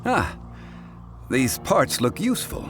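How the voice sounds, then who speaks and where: A man playing a composed, erudite gentleman in a video game, speaking in a formal, measured, dignified and didactic way. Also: medium pitch, huge pitch swings, over music. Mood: thoughtful. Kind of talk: video game character acting.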